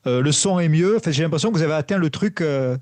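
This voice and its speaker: A man's voice: fairly high pitch, expressive, fast, darkish tone, dry recording. A French man hosting an interview, asking long rambling questions in a casual tone. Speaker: interviewer host.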